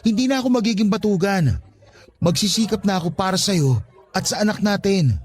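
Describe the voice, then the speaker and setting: A man's voice: high-pitched, lively intonation, fairly quick, slightly rough. A man playing a smitten young father in a Tagalog radio drama, earnest, coaxing and insistent with his ex. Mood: earnest pleading.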